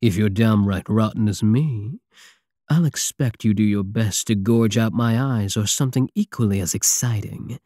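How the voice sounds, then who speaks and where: A man's voice: on the low side, moderate pace, warm tone, no echo, clean studio sound, close to an everyday voice. A man playing a swaggering, obsessive space pirate king in an intimate ASMR roleplay, flirtatious and menacing with a teasing, theatrical drawl. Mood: taunting. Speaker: audio roleplay voice actor.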